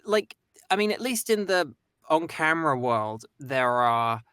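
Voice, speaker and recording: A man's voice: on the high side, sing-song, measured pace, bright, forceful tone, smooth, no room sound, studio quality. A man voice actor, a guest on a stream, speaking thoughtfully and hedging with many "kind of"s and "sort of"s. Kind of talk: casual conversation.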